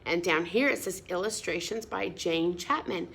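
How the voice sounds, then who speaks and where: A woman reading a picture book aloud to children in a sing-song, expressive way, pausing to explain words and ask questions. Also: lowish voice, gravelly, husky. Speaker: storybook reader.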